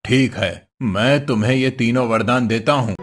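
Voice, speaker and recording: A man's voice: mid-range voice, normal speed, close to an everyday voice. A man voicing a hungry, weary old wandering holy man in a cartoon story, benevolent and pleading. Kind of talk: Hindi cartoon story voice acting.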